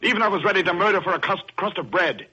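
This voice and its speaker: An actor playing a guilt-haunted, paranoid shipwreck survivor in intense, melodramatic old-time radio suspense. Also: male voice, high voice, quick pace, sharp tone, husky, exaggerated voice. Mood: indignant, defensive. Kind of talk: old-time radio suspense acting, first-person narration. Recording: muffled vintage radio recording with music.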